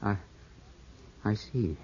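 A man's voice: drawn-out words, a little mellow. An actor playing a grieving, suspicious young Englishman in his twenties, clipped and intense, in 1950s radio drama style. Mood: troubled, insistent. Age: 20s. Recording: muffled, scratchy 1950s radio broadcast.

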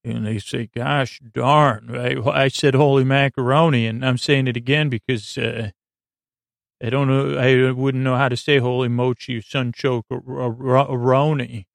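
A man hosting a bedtime podcast, meandering and soft-spoken, with frequent stammers and restarts. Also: mid-range voice, flat delivery, unhurried, creaky, no room sound, studio quality. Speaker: podcast host. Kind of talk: rambling bedtime podcast talk.

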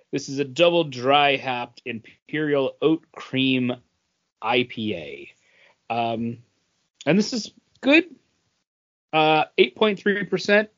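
A man talks casually as a podcast host. His fairly high voice moves around a lot in pitch, and the audio is a bit muffled. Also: fairly bright tone. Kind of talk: casual chat.

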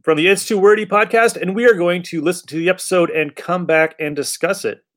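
A man's voice: high voice, fairly even intonation, a little fast, fairly bright tone. A man hosting a podcast, giving a casual scripted introduction. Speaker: podcast host. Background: faint music.

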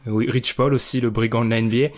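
A man's voice: medium pitch, level tone, fast. A man hosting a casual basketball chat, chatty and joking as he hands questions to the others. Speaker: podcast host.

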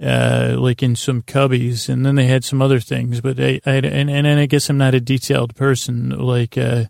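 A man hosting a sleep podcast, rambling in a halting, meandering way with lulling, soothing tones. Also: medium pitch, level tone, a little mellow, no room sound, clean studio sound. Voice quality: creaky, gravelly. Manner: meandering, hesitant, halting, trailing off into tangents. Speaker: podcast host.

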